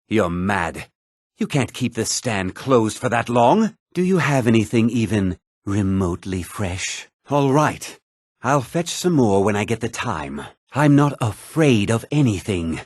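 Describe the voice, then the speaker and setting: A self-important townsman from a fantasy video game. His husky voice swings widely in pitch and is recorded close and dry. He talks with theatrical, smug condescension. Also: big pitch swings, measured pace, no echo. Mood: irritated, haughty. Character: haughty, self-important male townsman and merchant of a fantasy world. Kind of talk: video game character dialogue.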